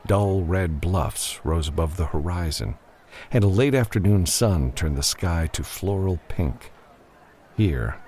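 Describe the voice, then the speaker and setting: A man narrating a science fiction audiobook in a measured, expressive storytelling style. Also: extremely low pitch, a little hiss or hum.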